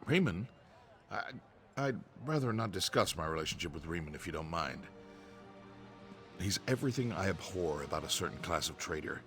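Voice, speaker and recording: A man's voice: low voice, expressive, normal speed, fairly bright tone. An AI-voiced man playing an earnest, nervous merchant in game dialogue, with faint music behind. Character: anxious male merchant clerk, earnest, proper.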